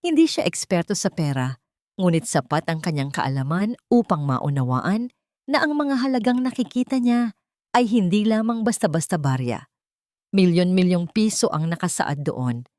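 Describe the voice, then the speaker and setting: A woman's voice: fairly low pitch, lively intonation, normal speed, darkish tone, no room sound. A woman narrating a scripted audiobook drama in a dramatic storytelling style.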